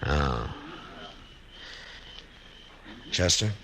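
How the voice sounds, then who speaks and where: An actor playing a laconic, weary American frontier marshal, acting and narrating in an understated, terse way in a 1950s radio western. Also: male voice, a bass voice, sing-song, vocal fry, a little hiss or hum, heavily acted, poor audio. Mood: terse, steady. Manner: understated, hesitant pauses, terse. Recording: vintage radio recording, loud music behind.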